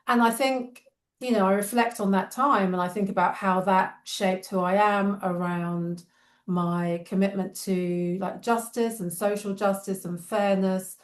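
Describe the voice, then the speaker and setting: A woman's voice: medium pitch, fairly even intonation, a little slow, roomy sound. A woman in her fifties from England with a London accent touched by a Northern edge, a charity guest talking thoughtfully in long reflective runs. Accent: London English with a slight Northern edge. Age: 50s. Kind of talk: reflective interview talk.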